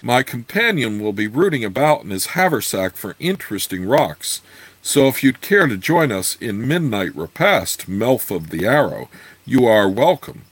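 A man's voice: mid-range voice, big pitch swings, normal speed, slightly rough, dry recording. A man voicing a giggly, odd fantasy traveler who speaks in stilted, archaic phrases, in a storybook reading. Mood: giggly, inviting.

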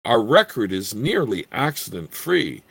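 A man voicing a small old gnome proprietor from a fantasy storybook, squeaky, eager and easily indignant, with fussy, formal sales patter. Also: big pitch swings, measured pace, gravelly, fairly bright tone, breathy edge, heavily acted. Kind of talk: fantasy storybook character reading.

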